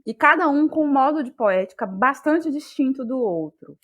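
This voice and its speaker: Higher-pitched Bahian Brazilian woman, thoughtful. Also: lively intonation, a bit bright, muffled recording. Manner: thoughtful, halting, searching for words. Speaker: guest, playwright and actress. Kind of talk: reflective interview talk.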